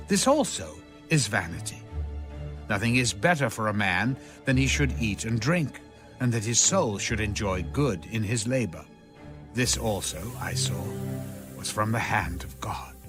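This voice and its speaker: A man narrating scripture in a solemn, dramatic reading, measured and formal, with a rising and falling cadence. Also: fairly low pitch. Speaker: audio Bible narrator.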